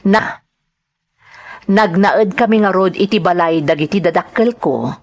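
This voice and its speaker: A woman's voice: fairly low pitch, unhurried. A woman with an Ilocano accent playing an earnest, hurt young wife in an audio drama. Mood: sorrowful recounting.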